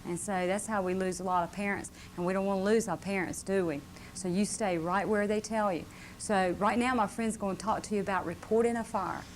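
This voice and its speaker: A woman's voice: mid-range voice, fairly even intonation, a little fast, poor audio. A woman giving an informal fire safety talk to children, often checking with tag questions. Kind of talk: live instructional talk to children. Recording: faint noise on old videotape.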